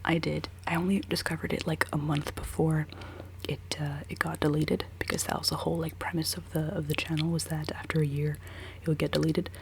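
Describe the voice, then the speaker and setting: A woman's voice: flat delivery, brisk, creaky, a little hiss or hum. A woman in her twenties whispering a rambling, giggly ASMR chat while she does her makeup. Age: 20s. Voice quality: decently low voice. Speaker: ASMR creator.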